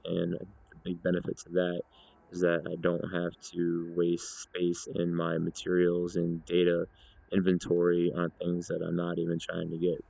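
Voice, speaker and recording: A man narrating an informal software demonstration in a measured, explanatory way. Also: low voice, vocal fry. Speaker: gaming video creator demonstrating software. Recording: dull lo-fi voice recording.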